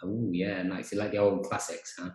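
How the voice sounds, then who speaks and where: A man's voice: on the low side, normal speed, vocal fry. Chatty male host, roomy sound. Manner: relaxed, chatty, frequent fillers. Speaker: podcast host, teacher trainer. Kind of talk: casual chat. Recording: roomy echo.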